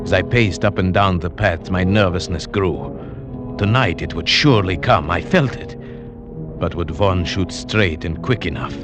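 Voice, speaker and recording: An actor playing a haunted, uneasy man, narrating a suspense tale in a dramatic, brooding 1940s radio style. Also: deep voice. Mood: brooding dread. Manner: dramatic, brooding, deliberate storytelling. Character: haunted, uneasy man recounting a werewolf hunt. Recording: vintage radio recording with music.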